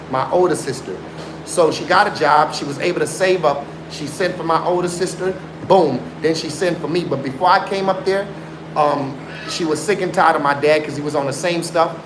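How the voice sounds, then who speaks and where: Insistent man on a roomy, noisy live stream. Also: fairly high pitch. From South Africa. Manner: insistent, swears oaths, mixes in Afrikaans. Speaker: livestreamer. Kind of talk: casual live stream chat with viewers. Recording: roomy sound with background noise.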